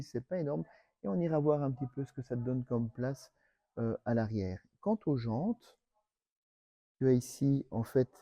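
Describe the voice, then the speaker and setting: A Belgian French-accented man presents a car as if chatting with a mate. His voice is relaxed and mellow, with familiar, slangy phrasing. The sound is dry, with hardly any room on it. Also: mid-range voice, dry recording, lo-fi sound. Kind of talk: casual, chatty car walkaround addressed to the viewer.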